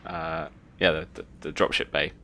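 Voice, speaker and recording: Low, bright-voiced woman running a game. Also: fairly even intonation, normal speed, slight background noise. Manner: casual, conversational. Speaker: game master. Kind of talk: improvised narration of a tabletop game.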